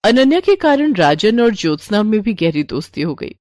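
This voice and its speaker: A woman's voice: medium pitch, very animated, normal speed, a little mellow, no room sound. A woman reading a scripted story as its narrator.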